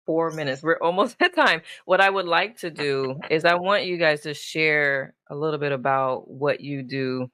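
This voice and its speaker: A woman's voice: low-pitched, normal speed, hardly any pauses, a bit bright, dry recording. A woman talk show host chats informally with her guests. Her manner is effusive and loose, and the sound is dry, with very little room on the voice. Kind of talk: casual chatty interview hosting.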